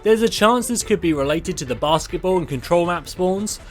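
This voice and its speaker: Lively high-voiced male narrator. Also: normal speed, over music. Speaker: host and narrator. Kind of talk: scripted video narration.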